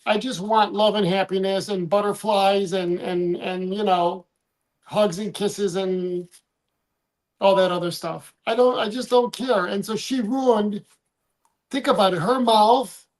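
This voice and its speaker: A man's voice: high-pitched, steady tone, a little slow, rough recording. A man from the United States co-hosting a casual livestream, rambling, trailing off and restarting his sentences. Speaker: livestream co-host.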